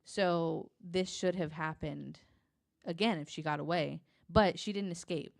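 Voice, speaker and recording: Low, creaky-voiced woman, informal true-crime retelling. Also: no echo, studio quality. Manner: halting, hedging, informal, consults notes. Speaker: podcast guest.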